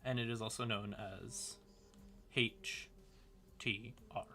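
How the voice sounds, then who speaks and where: A man's voice: mid-range voice, little pitch movement, slow pace, a little hiss or hum. A man hosting a livestream, explaining things casually in a folksy, rambling way with asides muttered to himself. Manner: folksy, uses y'all and howdy. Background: music quietly in the background.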